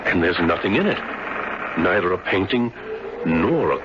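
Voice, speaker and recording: An actor playing a worried, stubborn husband in a 1970s radio drama, speaking earnestly and in formal wording on an old recording. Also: male voice, sits low, very animated, moderate pace, muffled recording, very noisy. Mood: grave, puzzled. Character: worried, stubborn husband determined to help his friend.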